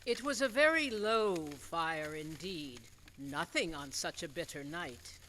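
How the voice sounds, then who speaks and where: A woman's voice: sits low, expressive, measured pace, bright, forceful tone, slight background noise, full character voice, rough recording. A woman in a radio play acting a polite, earnest charity lady and storyteller, with a theatrical manner. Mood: dramatic.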